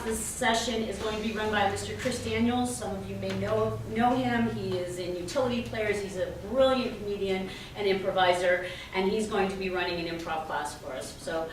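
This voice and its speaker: A woman drama instructor and director giving an informal spoken introduction to an audience. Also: mid-range voice. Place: indoor venue with lobby, speaking to audience. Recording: very echoey room, distant mic, faint noise.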